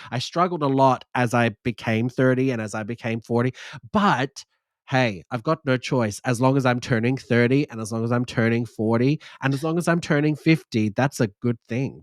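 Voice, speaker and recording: A man's voice: mid-range voice, no room sound. A man in his forties hosts a casual chat, speaking earnestly and reflectively with many agreeing fillers. His voice sits mid-range, and the sound is dry and close, with little room around it. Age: 40s. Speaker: podcast host.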